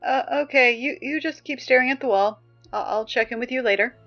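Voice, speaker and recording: A woman acting as an exasperated, wry high school student reading morning announcements in an apocalypse audio drama, halting and conversational. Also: highs cut off, natural voice. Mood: awkward concern. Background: music.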